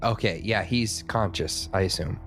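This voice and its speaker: A man's voice: deep voice, moderate pace, creaky, fairly bright tone. A man playing a tabletop role-playing game, talking casually as he works out his moves. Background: faint music.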